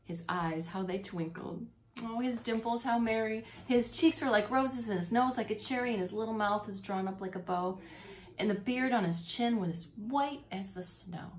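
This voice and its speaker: A woman preschool teacher reading a storybook aloud to young children, expressively, pausing to explain and repeat words simply. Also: fairly high pitch, rough recording.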